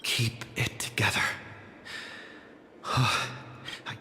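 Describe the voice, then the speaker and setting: Slow, pensive young hero. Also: male voice, sharp tone, slight background noise. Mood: puzzled, uneasy. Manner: hesitant, pensive inner monologue. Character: bewildered, determined young male traveler hero. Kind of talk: anime game voice acting.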